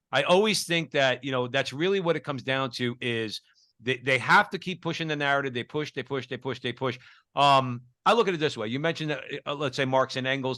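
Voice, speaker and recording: A man's voice: medium pitch, sharp tone, no room sound, clean studio sound. A male co-host of a talk show, speaking emphatically and often repeating words as he starts a point. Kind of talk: casual talk show discussion.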